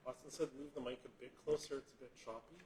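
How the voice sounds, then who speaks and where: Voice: medium pitch, fairly even intonation, quick pace. A participant in a painting class asking brief, casual questions across the room. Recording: distant mic in a noisy room.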